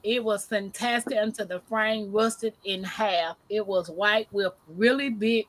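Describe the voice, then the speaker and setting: A woman hosting a casual live-stream chat, talking loudly and laughing often as she tells stories. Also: a little slow, no room sound. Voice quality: says she has a deep voice. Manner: loud, animated storyteller who laughs often. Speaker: livestream host.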